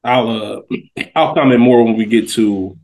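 A man's voice: medium pitch, a little slow, a little mellow. A man co-hosting a podcast with casual banter, speaking in a slangy, blunt way. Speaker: podcast co-host.

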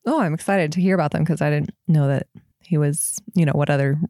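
A woman's voice: deep voice, quick pace, warm tone, no echo, studio quality. A woman co-hosting a horror movie podcast, chatting casually, often laughing and a little hesitant. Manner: giggly, hesitant. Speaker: podcast co-host.

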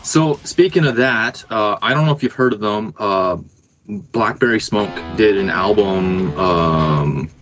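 Low-voiced man, musician chatting casually. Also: measured pace, fairly bright tone, lo-fi sound, soft background music. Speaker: rock musician, podcast guest. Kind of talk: casual chat about rock music.

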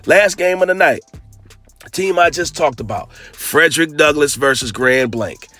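Podcast host, male, raspy from a cold, slightly high voice, hyped casual slang, faint music underneath. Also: moderate pace, pushed and bright. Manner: loose, hyped slang-heavy basketball talk. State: hoarse from a cold. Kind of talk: casual sports podcast talk.

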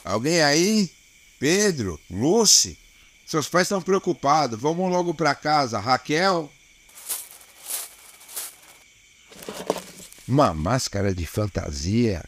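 A man's voice: fairly high pitch, very animated, measured pace, fairly bright tone, a little hiss or hum, exaggerated voice. A man playing a weary, dogged small-town policeman in an audio drama, colloquial and grumbling, with a Brazilian accent. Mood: suspicious, muttering. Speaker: voice actor.

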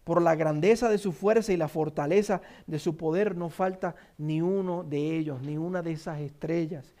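Male pastor, Puerto Rican Spanish accent, high-pitched, emphatic sermon delivery. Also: moderate pace. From Puerto Rico. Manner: emphatic preaching, repeats key phrases, drops low and soft in prayer. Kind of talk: sermon with scripture reading and prayer.

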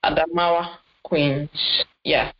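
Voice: medium pitch, little pitch movement, slow pace. A podcast co-host in a casual sports chat, talking in a chatty, hesitant way and often correcting themselves. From not Nigerian. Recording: dull lo-fi audio.

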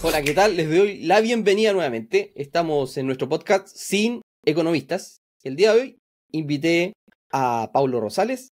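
A man's voice: a little high, lively intonation, normal speed. A man, hosting a podcast and asking chatty questions. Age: 40s. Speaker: podcast host. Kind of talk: casual interview talk.

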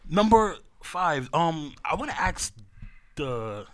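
A man in his late twenties hosting a casual group discussion, talking in an animated, slangy way. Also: a little high, slow pace. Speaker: podcast host moderating a panel.

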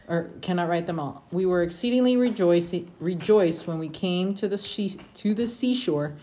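A woman with a fairly low voice reads scripture aloud to family in an informal way, slipping in casual asides. It is a home recording with faint noise and small clicks behind her. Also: darkish tone. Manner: informal, breaking off to chat with children. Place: at home among family with children.

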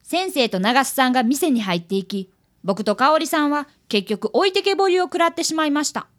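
Woman voicing an earnest young schoolboy, high-pitched and lively. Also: a little fast. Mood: earnest, urgent. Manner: polite, explanatory. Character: earnest fifth-grade boy, apprentice detective and narrator. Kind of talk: radio drama narration and dialogue.

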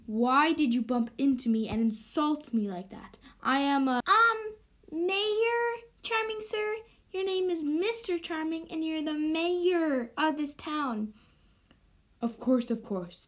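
A woman's voice: high voice, expressive, slow pace, voice pushed up. A woman playing an indignant, self-important male mayor in an amateur radio drama. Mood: affronted, pompous.

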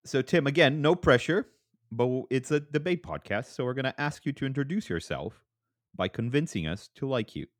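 A man's voice: expressive, a little fast, no room sound, studio quality. A man hosting a podcast in casual chat, playful and teasing. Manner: playful, jumps up in pitch for jokes. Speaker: podcast host.

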